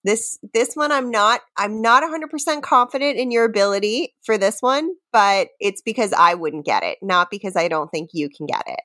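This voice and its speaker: A woman's voice: medium pitch, animated, normal speed, hardly any pauses, sharp tone. A woman hosting a podcast in casual comedic chat, with a teasing manner and a theatrical streak when reading lyrics. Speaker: podcast host.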